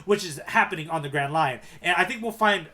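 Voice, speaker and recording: A man's voice: a little high, brisk, sharp tone. A man co-hosting a casual podcast as the guide, explaining things and steering the discussion in a conversational way.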